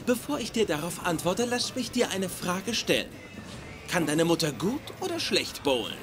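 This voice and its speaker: An actor playing a pedantic, smug scientist in a dubbed sitcom, with precise, formal diction. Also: male voice, sits high, big pitch swings, normal speed, music in the background. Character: pedantic, literal-minded, smug male nerd scientist. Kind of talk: German-dubbed sitcom acting.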